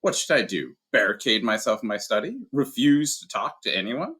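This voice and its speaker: A man voicing a calm, wise old male headmaster who speaks in a measured, dryly humorous way. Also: mid-range voice, husky, pitched above the performer's own voice, exaggerated voice. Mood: wry, calm. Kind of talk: audiobook character voice.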